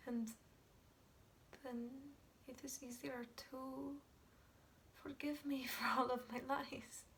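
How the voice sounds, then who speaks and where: A woman acting a guilt-ridden, fragile Polish woman in a dramatic monologue, with a Polish accent and halting, stammering speech that often drops to whispers. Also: sits high, little pitch movement, vocal fry, fairly bright tone, recorded from a distance, a little hiss or hum, exaggerated voice. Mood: hesitant, sorrowful. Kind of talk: dramatic film monologue, amateur.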